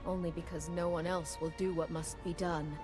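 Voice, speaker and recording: A woman playing a resolute, wise and idealistic young war chieftain in a video game, speaking in a grave, measured, declarative way. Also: fairly low pitch, little pitch movement, close to an everyday voice. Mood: grave, instructive. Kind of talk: dramatic game voice lines. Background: music.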